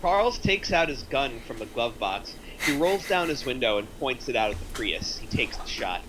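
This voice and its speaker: A man's voice: medium pitch, very animated, moderate pace. A man reading screenplay action aloud as a scripted narrator. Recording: faint background noise.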